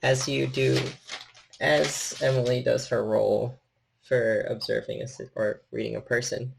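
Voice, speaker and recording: A man's voice: medium pitch, flat delivery, measured pace, creaky, a little hiss or hum, poor audio. A man narrating a tabletop horror game as its storyteller and host.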